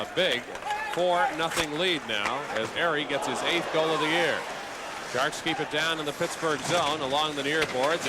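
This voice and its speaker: Male hockey play-by-play man over loud arena noise. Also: a little high. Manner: conversational asides between calls. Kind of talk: live sports commentary. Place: broadcast position in an indoor arena. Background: ice hockey arena.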